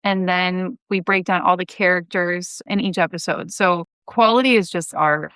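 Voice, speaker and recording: A woman in her thirties talks as a podcast guest. Her voice is clear and resonant, sitting a bit low. She is enthusiastic and likes to stress a point, and the sound is close and dry. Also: fairly even intonation, normal speed, fairly bright tone, no echo. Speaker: podcast guest, audio drama writer. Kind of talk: conversational interview answers.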